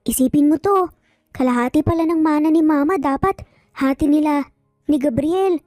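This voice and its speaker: A very high, small child's voice, put on by a woman storyteller, earnest and full of questions. The kid sounds curious and anxious, as in an audio drama. The recording is dry, with faint music underneath. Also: normal speed, a little mellow, dry recording, close to an everyday voice. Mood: earnest, wondering. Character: six-year-old triplet children, curious and anxious. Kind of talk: Tagalog audio story dialogue.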